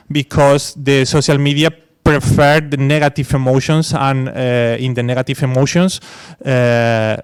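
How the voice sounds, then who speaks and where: A man's voice: medium pitch, smooth. A man from Spain, an English speaker, talking on a conference panel with many fillers and restarts. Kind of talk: academic panel discussion.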